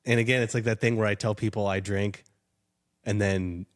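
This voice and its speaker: A man's voice: fairly low pitch, steady tone, normal speed. A young adult man, a podcast guest, talking in a rambling, casual way with lots of fillers and self-deprecating asides. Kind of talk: casual chat.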